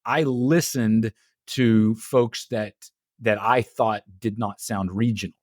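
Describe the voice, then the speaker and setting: Lively male podcast host. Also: lowish voice, takes it slowly, no echo, clean studio sound. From Texas. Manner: animated, lively emphasis, repeats words when starting sentences. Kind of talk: casual interview.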